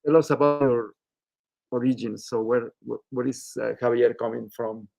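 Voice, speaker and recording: A podcast host opens the conversation in a gravelly, creaky voice. He speaks at a mid pitch for a man and keeps stopping on fillers, restarting his questions as he goes. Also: fairly even intonation, a little mellow. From Mexico. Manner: halting, many fillers and restarts. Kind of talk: casual interview.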